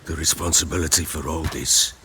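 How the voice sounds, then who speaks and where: A man playing a gruff, guilt-ridden father in a video game, with a deep, gravelly voice. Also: steady tone, brisk, sharp tone, slight background noise, exaggerated voice. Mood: remorseful.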